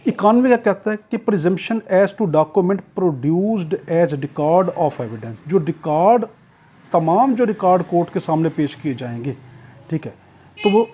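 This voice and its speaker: Husky, higher-pitched Pakistani male tutor. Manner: didactic, repetitive, asks and answers own questions. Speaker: law tutor. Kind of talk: explanatory exam lecture mixing in English legal terms. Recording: dull, muffled lo-fi audio.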